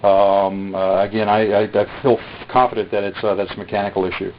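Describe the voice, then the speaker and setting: Man, lowish voice, hesitant filler-heavy delivery, muffled lo-fi capture; casual product demo. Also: flat delivery, a little hiss or hum. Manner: halting, many "uh" fillers. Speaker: radio seller demonstrating a receiver. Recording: dull lo-fi recording.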